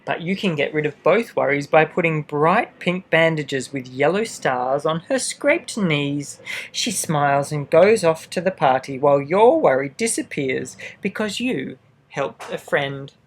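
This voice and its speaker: A man reading a children's storybook aloud expressively, adding gentle asides for young listeners. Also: fairly high pitch, lively intonation, measured pace. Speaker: storybook reader. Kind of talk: children's picture book read-aloud.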